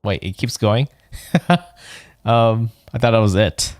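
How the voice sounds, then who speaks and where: A man streaming a video game, reading the on-screen text aloud in a measured, subdued manner. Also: lowish voice, steady tone, no room sound, clean studio sound.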